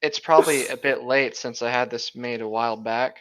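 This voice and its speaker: A man's voice: mid-range voice, fairly even intonation, a little fast, a bit bright. A young man co-hosting in casual, sarcastic, profane banter, recorded on a lo-fi home mic. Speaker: co-host reading a book aloud with commentary. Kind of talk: casual joking chat.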